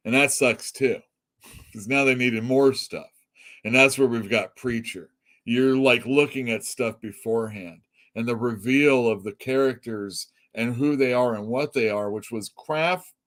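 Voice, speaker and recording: A middle-aged man talks casually and bluntly as a comic shop host, voice sitting at an ordinary middle pitch. He rambles and restarts, swears without a second thought, and laughs at his own jokes. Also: a bit bright. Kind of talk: casual opinionated chat.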